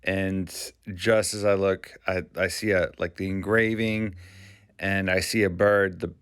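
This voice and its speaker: A man from Maine hosting his channel, talking casually in a halting, rambling way with frequent restarts. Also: sits low, level tone, fairly bright tone, clean tone, no echo, studio quality. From Maine, USA. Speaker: YouTube channel host. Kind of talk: casual vlog commentary.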